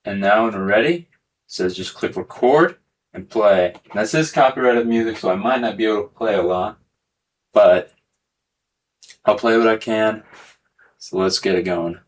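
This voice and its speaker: A man's voice: fairly low pitch, normal speed, long pauses. A man hosting a casual hands-on tech demonstration video, talking through each step as he goes. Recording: clearly noisy recording with clicks.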